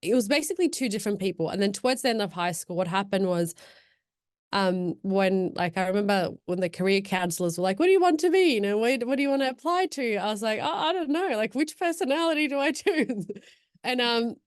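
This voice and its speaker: A woman's voice: mid-range voice, fairly quick, no echo. Young woman, podcast guest, thoughtful, rambling, many fillers, dry sound. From Afghan, born in Pakistan, raised in Australia. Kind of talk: casual reflective chat.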